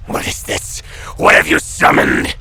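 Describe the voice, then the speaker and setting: A man playing a cruel, imperious demonic spectral master in an audio drama, with a gravelly, hissing growl and formal, menacing delivery. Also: unhurried, lightly acted. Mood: furious. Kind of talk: audio fiction villain acting.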